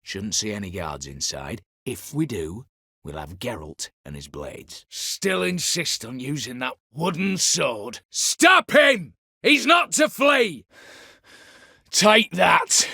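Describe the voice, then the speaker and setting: An actor playing a shrewd, vengeful heist mastermind in a video game, speaking in a clipped, commanding way with archaic turns of phrase. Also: male voice, medium pitch, very animated, slow pace, gravelly, sharp tone, slightly rough, no echo, studio quality, heavily acted. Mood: furious. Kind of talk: dramatic video game voice acting.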